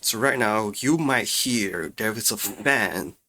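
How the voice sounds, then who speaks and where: A man with a fairly low voice talks through a tutorial informally. He explains things casually and repeats points for emphasis, speaking into a studio mic in a home room. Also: lively intonation, takes it slowly, bright, forceful tone. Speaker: audio tutorial presenter. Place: small untreated home room with furniture. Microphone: close studio microphones, condenser then dynamic.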